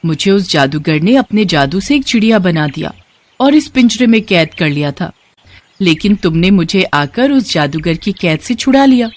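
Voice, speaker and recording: A woman voicing a kind, grateful fairy, speaking in a gentle, reassuring and instructive way for a children's story. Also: lowish voice, very animated, moderate pace. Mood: pleading. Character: kind, grateful young female fairy granting wishes.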